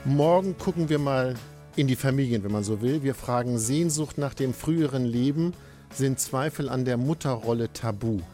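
A man hosting and moderating a call-in radio discussion, calm and measured as he steers the guests. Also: medium pitch, faint music behind. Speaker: radio talk show host.

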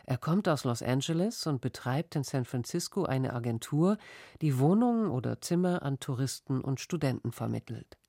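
A narrator reading a documentary script in an even, measured way. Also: mid-range voice, creaky, no room sound, studio quality.

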